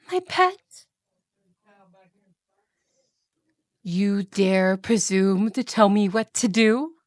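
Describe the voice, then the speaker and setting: A woman's voice: medium pitch, no echo, clean studio sound. A woman playing a cruel, jealous evil queen and stepmother in a fantasy audio roleplay, with an imperious, menacing, theatrical delivery. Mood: outraged.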